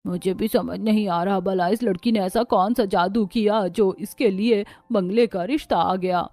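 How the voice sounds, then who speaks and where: A woman's voice: medium pitch, fairly even intonation, normal speed, mellow, dry recording, close to an everyday voice. A woman playing a gossiping, judgmental neighbour in a moral story. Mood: baffled, envious. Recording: music under the voice.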